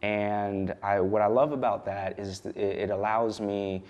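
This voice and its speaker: Low-voiced thoughtful man in his thirties. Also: fairly even intonation, a little mellow, muffled recording. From United States. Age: 30s. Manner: thoughtful, measured, personal. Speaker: guest poet and educational consultant. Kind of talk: reflective interview answers. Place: television studio.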